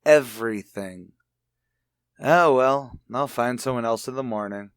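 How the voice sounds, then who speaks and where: A man's voice: mid-range voice, unhurried, smooth, no echo, clean studio sound, close to an everyday voice. An actor playing a rich, philandering husband who callously taunts his wife in an old radio mystery drama. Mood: bitter.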